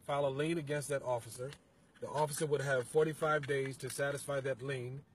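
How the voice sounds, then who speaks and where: A middle-aged man, an interview guest, explaining his organization's process in a measured way from the passenger seat of a car, recorded on a phone. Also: mid-range voice, steady tone, a little hiss or hum. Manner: measured, explanatory, some halting restarts. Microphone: phone camera held by the interviewer.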